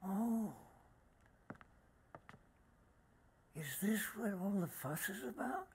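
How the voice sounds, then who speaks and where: An actor playing an elderly, contemptuous crime boss in a video game, condescending and theatrical. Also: male voice, high-pitched, animated, normal speed, a little hiss or hum. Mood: mock apologetic. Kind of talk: video game cutscene acting.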